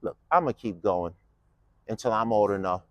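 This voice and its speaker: A man's voice: lowish voice, expressive, a little fast, no echo, dull audio. A man in his fifties delivering a casual, mocking political monologue with lots of rhetorical questions. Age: 50s. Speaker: vlogger giving a political speech.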